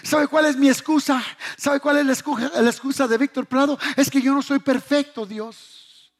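A man preaching a fervent sermon with a raspy, strained voice, emphatic and repetitive, swinging between hushed lines and shouted exhortation. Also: very high-pitched, fairly even intonation, normal speed. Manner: emphatic, rhetorical questions, repeating phrases for effect.

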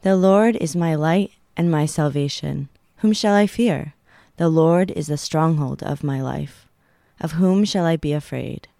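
A guest reader giving a solemn, careful and deliberate reading of a Bible psalm. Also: medium pitch, creaky, mellow, dry recording, clean studio sound.

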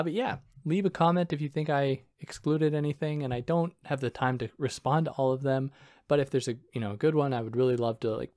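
A man with a soothing voice explains a philosophy text in an unscripted video lecture. He thinks aloud, pauses on fillers and often corrects himself mid-sentence. His mid-pitched voice sits close and dry in the recording. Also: a little mellow, no echo. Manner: thoughtful, self-correcting, many fillers.

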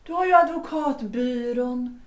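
An actress playing a composed, resolute upper-class wife in a period audio drama, speaking deliberately with weighty pauses. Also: female voice, sits high, close to an everyday voice. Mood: questioning, cool. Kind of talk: period stage-play audio drama acting. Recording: roomy echo.

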